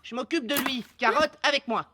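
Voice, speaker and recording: A woman voicing a gentle, earnest and easily flustered young schoolboy in a French cartoon, with a pleading way of talking. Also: high-pitched, fairly quick, very noisy, close to an everyday voice. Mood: concerned. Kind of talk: French animated cartoon acting.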